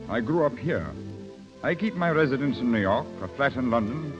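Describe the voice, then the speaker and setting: An actor playing a suavely polished cosmopolitan banker, courtly and seductive, in 1930s radio drama style. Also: male voice, medium pitch, lively intonation, normal speed, lightly acted. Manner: smooth-mannered, formal, courtly. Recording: dull 1930s radio recording with music behind.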